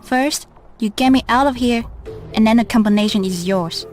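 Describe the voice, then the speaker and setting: A woman acting as a young Chinese captive in a video game, fairly high-voiced. She is urgent, pleading and shrewdly bargaining, with faint music underneath. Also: moderate pace, a little mellow, close to an everyday voice. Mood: shrewd, warning.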